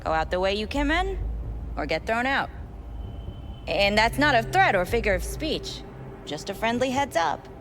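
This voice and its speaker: A woman's voice: a little high, sing-song, moderate pace, pauses often, a bit bright, a little hiss or hum. A woman playing a cold, pragmatic manager in a cyberpunk game, speaking in a dismissive, businesslike way. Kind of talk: video game voice acting.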